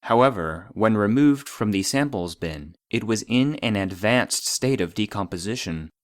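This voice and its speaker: An actor voicing an impersonal database that reads out classified reports in a measured, official, detached manner. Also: male voice, on the low side, lively intonation, no room sound, clean studio sound, close to an everyday voice. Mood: clinical, detached. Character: formal, impersonal male database voice reading classified logs. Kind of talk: scripted narration of clinical reports.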